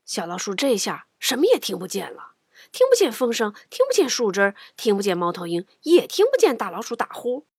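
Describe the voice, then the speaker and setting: A woman's voice: on the high side, huge pitch swings, a little fast, a little mellow, slightly rough, no room sound, clean studio sound. A woman narrating a picture book for children, playful and dramatic, often dropping into a whisper. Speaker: children's storyteller.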